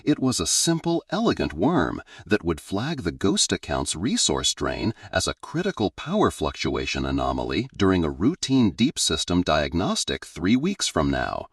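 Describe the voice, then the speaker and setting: Male narrator for sci-fi audiobook: low, gravelly, measured and dramatic. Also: huge pitch swings, dry recording, clean studio sound.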